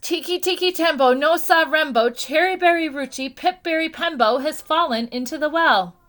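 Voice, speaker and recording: A woman voicing a small, frantic boy who is out of breath and shouting urgently. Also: high voice, moderate pace.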